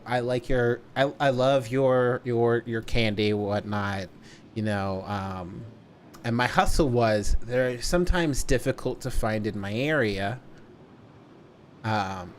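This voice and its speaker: Guy streamer just telling a story to chat, medium pitch, draws words out, some noise behind him. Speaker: livestreamer. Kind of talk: casual storytelling to a livestream audience.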